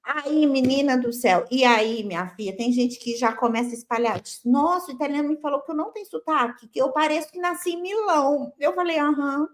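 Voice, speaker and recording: Female co-host, high pitch, talks straight to the audience with playful exclamations. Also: brisk, hardly any pauses, a little hiss or hum. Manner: chatty, playful, exclamatory, addresses viewers directly. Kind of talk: casual chat. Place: lives in Italy.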